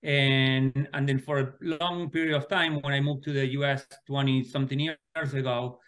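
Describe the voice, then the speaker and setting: Argentine man, forties, mid-pitched voice, relaxed, unhurried, repeats words while thinking. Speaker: guest, sports club co-founder. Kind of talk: casual interview answers.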